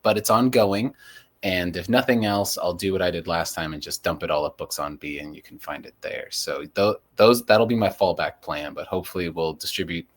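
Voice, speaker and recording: A man hosting a local news podcast, moving between reading headlines and casual discussion. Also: sits low.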